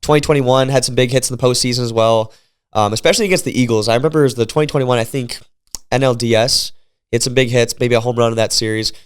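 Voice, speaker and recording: A man's voice: medium pitch, fast talker, smooth. A man talking as league commissioner in a casual podcast chat, congested from a cold, explaining things in an upbeat way.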